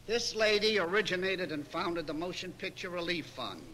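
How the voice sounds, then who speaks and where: A man with a very bright, forceful voice, pitched high, hosts a ceremony from a podium. He speaks in formal introductions, with faint noise on the archival recording. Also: normal speed, low-quality recording. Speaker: master of ceremonies. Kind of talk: formal public introduction speech. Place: podium before an audience.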